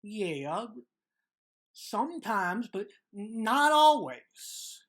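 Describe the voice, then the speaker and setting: A woman playing a simple, trusting male American ranch laborer in a dramatic reading, speaking in earnest, halting rural vernacular. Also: mid-range voice, very animated. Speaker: actor.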